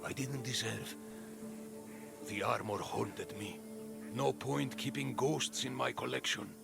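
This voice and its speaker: An actor playing a gruff, guilt-haunted middle-aged collector who speaks in terse, bitter phrases. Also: male voice, medium pitch, fairly even intonation, takes it slowly, loud music behind the voice. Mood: brooding. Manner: terse, bitter, clipped sentences. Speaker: game character. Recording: orchestral game score underneath.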